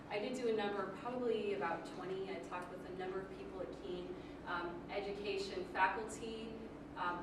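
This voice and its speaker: A young woman giving an academic presentation as a graduate student, earnest and full of ums, with light laughs. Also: mid-range voice. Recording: echoey room recorded from far off with noise.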